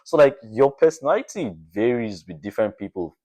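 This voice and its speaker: A young man chatting casually as a podcast guest, thinking aloud in a halting, anecdotal way. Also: medium pitch, animated, warm tone. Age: young adult. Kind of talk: casual chat.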